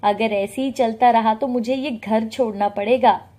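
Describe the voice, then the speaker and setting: Casting: henpecked, dismissive son for a cartoon family tale, with a mellow delivery woman performer. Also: on the high side, steady tone, a little slow, lightly acted. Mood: curt. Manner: curt, dismissive. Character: cold, henpecked adult son turning on his mother.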